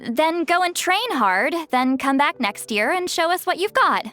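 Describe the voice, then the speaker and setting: A woman's voice: high-pitched, very animated, a little slow, fairly bright tone, faint music behind, heavily acted. A woman voicing a spirited, friendly young villager in an anime game. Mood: encouraging.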